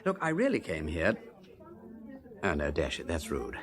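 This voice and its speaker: An actor playing a breezy, witty aristocratic gentleman sleuth with an upper-class English accent and airy, affable manner in a radio drama. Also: very deep voice, huge pitch swings, moderate pace, full character voice. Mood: breezy, reassuring. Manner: affable, airy, old-fashioned slang, dithering politely.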